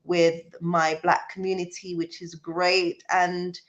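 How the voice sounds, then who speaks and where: Lower-pitched British woman chatting earnestly on livestream. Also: flat delivery, takes it slowly, dull audio. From born in Jamaica, raised in the UK. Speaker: livestream host. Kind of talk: casual live chat with viewers, reading out comments.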